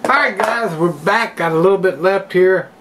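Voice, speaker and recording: A man's voice: sits high, normal speed, background noise. Folksy older man reviewing beer. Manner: chatty, folksy, talks to viewers as guys. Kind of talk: casual solo review. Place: home room with a desk and plants, indoors.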